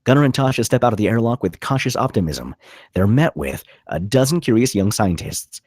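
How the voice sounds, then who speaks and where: A man's voice: mid-range voice, big pitch swings, brisk, a little mellow, no room sound, clean studio sound. A man reading stage directions as dramatic scripted narration at a table read.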